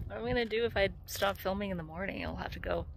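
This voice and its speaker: A woman's voice: mid-range voice, fast talker, creaky. A middle-aged woman chatting casually and cheerily to a phone held at arm's length, in an informal vlog style. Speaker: vlogger. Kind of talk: casual vlog chat to camera. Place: parked car and open desert outdoors. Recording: noisy outdoor phone video, ambient vehicle noise.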